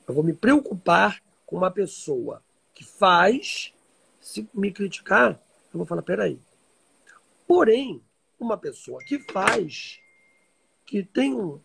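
A Brazilian man talks to his live-stream followers in a high voice for a man, heavily creaky and raspy. He is informal and emphatic, repeating key phrases as he teaches. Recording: livestream audio reposted from social media.

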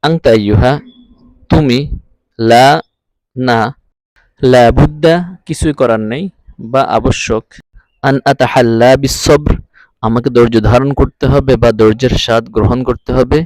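A man's voice: medium pitch, steady tone, darkish tone, no room sound, poor audio. Dry-sounding male language teacher. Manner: didactic, repeats phrases deliberately. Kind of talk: scripted lesson, phrase-by-phrase translation. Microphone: close, dry voice-over recording.

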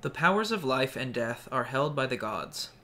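A man's voice: medium pitch, big pitch swings, moderate pace, pushed and bright. Male reader, middle register, for scripted ceremonial recitation. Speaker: co-reader of ritual parts. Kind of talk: scripted recitation of ritual lines.

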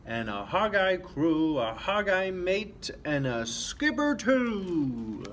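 A man voicing a gruff, rowdy old storybook pirate with loud, hearty talk. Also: sits high, very animated, slow pace, slight background noise, voice pushed up, heavily acted. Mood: rowdy singing.

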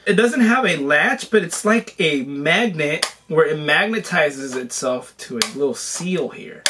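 A hoarse young man casually reviewing a VR headset at home, exclaiming through gameplay. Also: a little high, unhurried, a little hiss or hum. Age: young adult. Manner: excited exclamations, chatty. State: hoarse from being sick. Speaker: tech reviewer and VR gamer. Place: small home room with shelves, indoors.

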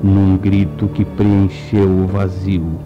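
A man narrating a radio play in a slow, solemn, mock-suspenseful way. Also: deep voice, completely flat delivery, muffled recording, over music.

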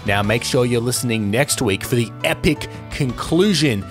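A man in his thirties with a mid-range voice hosts a film podcast. He speaks casually on a close mic, with clear music underneath. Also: mid-range voice, lively intonation, normal speed. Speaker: podcast host. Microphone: close studio microphone.

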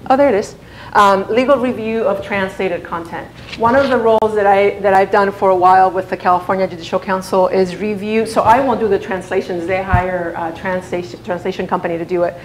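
A woman's voice: mid-range voice, fairly even intonation, brisk. A woman in her thirties, raised in Spain and Spanish-speaking from birth, giving a conference presentation in a chatty way with frequent fillers and restarts. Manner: conversational, many fillers and restarts. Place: large conference room. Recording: echoey conference room, faint hum.

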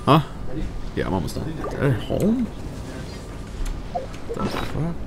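A man's voice: medium pitch, huge pitch swings, slow, noisy background. A man livestreaming a video game, chatting casually with viewers in a slangy, sweary way. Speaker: game streamer. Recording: game music behind. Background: video game music and character voices.

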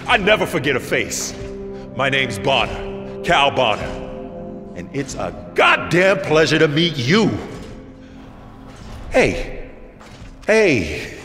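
Excitable, swooping prison inmate. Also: male voice, a little high, exaggerated voice. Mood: excited, pleading. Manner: rambling, eager, swooping between loud bursts and mutters. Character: excitable, foul-mouthed, fawning male prison inmate. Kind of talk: cinematic video game acting. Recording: echoey hall with loud music behind.

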